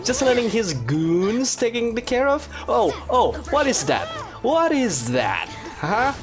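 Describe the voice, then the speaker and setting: A woman giving casual, wry gameplay commentary as a gaming video host, in an animated manner. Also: fairly high pitch, takes it slowly, over music. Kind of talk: casual gameplay commentary with dubbed-along dialogue.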